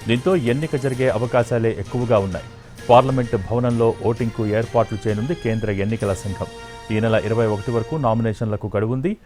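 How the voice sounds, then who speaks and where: A man's voice: mid-range voice, darkish tone. Male news anchor over music. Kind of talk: scripted news narration. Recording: music bed under the voice.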